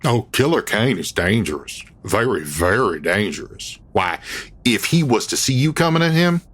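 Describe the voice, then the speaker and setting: A woman's voice: rumbling low, sing-song, moderate pace, husky, heavily acted. An actor voicing a cheerful, dim rural hillbilly man with a folksy drawl in a comedy audio drama. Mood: boastful. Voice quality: folksy drawl, ungrammatical country talk.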